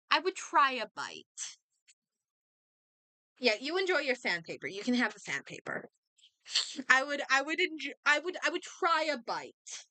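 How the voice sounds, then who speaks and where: Bright-voiced woman streamer thinking aloud. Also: high voice, dry recording. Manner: hesitant, thinking aloud, repeating words. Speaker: livestreamer. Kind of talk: casual chat while gaming, reacting aloud.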